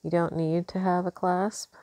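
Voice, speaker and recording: A woman's voice: fairly low pitch, level tone, a little slow, mellow, no echo. Congested woman chatting over beading. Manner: chatty, thinks aloud with many ums. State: congested from allergies. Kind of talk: casual crafting tutorial. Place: indoors at a craft table.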